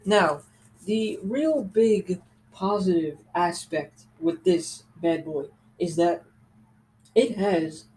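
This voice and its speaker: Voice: medium pitch, slow, a little mellow, slight background noise, rough recording. Someone casually showing off products as host, talking in a conversational, rambling way with asides. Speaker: host reviewing a radio collection. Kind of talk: casual product show-and-tell.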